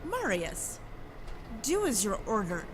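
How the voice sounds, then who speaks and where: A woman's voice: mid-range voice, wildly swooping pitch, takes it slowly, very noisy. An actress in an audio drama playing a haughty, dangerous noblewoman with an imperious, formal and cutting manner. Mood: commanding, annoyed. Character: haughty, lethal, easily annoyed adult noblewoman.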